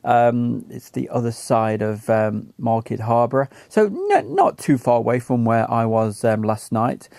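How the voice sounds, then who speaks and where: English male radio hobbyist host, mid-pitched with vocal fry, informal, often pausing to find his words. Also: very animated, darkish tone, dry recording, clean studio sound. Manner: halting, searching for words while reading notes. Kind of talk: casual rundown of radio call-ins.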